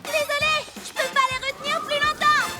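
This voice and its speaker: Brave, high-voiced little girl superhero. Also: level tone, normal speed, over music. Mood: urgent. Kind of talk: dubbed children's cartoon acting.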